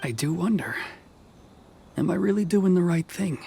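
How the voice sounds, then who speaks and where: An actor playing a dutiful, conflicted officer in a video game, speaking in a measured, formal way. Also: male voice, high voice, creaky, mellow, a little hiss or hum. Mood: stern, resigned. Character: stern, dutiful officer man, conflicted but soft-hearted. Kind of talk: dramatic game dialogue acting. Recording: music behind the voice.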